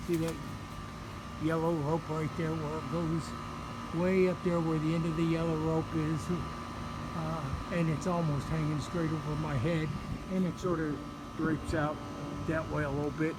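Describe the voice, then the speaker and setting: Halting man outdoors, slightly high voice, noisy. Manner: halting, unscripted, repeats words. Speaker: hobbyist video presenter. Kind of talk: casual outdoor show-and-tell update. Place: outdoors in a residential yard. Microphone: handheld camera microphone. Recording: noisy outdoor handheld camera audio.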